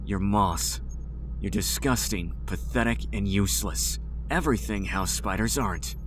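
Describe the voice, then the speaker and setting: Guy voicing smug overworked villain higher-up in a motion comic, talks down to people, bit of noise behind. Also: moderate pace, close to an everyday voice. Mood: contemptuous. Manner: condescending, taunting, sardonic. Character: arrogant, contemptuous, overworked male higher-up in a deadly game. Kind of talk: scripted motion comic voice acting.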